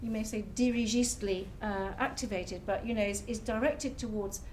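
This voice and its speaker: A woman speaking as a panelist in a public discussion, earnest and measured as she argues her point. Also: medium pitch, a little mellow, a little hiss or hum, poor audio. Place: public talk venue.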